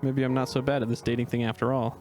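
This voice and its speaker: A man voicing an awkward, self-doubting young male wolf in an amateur dramatic reading, speaking with hesitant pauses. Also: mid-range voice, little pitch movement, a little mellow, faint music behind, lightly acted. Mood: pleased. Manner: hesitant, halting, unsure.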